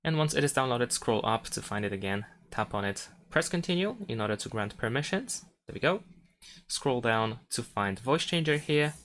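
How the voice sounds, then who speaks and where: A man's voice: medium pitch, lively intonation, normal speed, fairly bright tone. A man narrating a step-by-step phone tutorial as its host, giving simple instructions.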